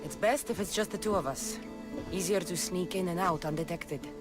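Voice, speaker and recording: A woman's voice: on the low side, normal speed, natural voice. An actress playing a tough, wry female Greek mercenary in a video game, dry and guarded in manner. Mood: firm, planning. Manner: dry, guarded, matter-of-fact. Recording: background music under the voice.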